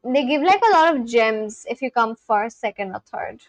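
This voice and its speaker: High-pitched woman chatting on a livestream. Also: expressive, moderate pace, no echo. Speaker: livestream host learning Spanish. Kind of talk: casual livestream chat with language drills.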